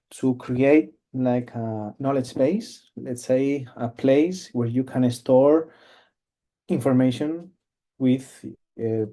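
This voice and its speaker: Halting man presenting on a webinar. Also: medium pitch, dark tone. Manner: halting, many fillers and restarts. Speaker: cloud company presenter. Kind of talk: webinar product demo. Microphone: video call.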